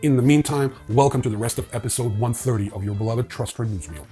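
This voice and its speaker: A man's voice: mid-range voice, fast talker, loud music behind the voice. A man hosting a news rundown in a casual, opinionated way with wry asides. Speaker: host of a crypto news show.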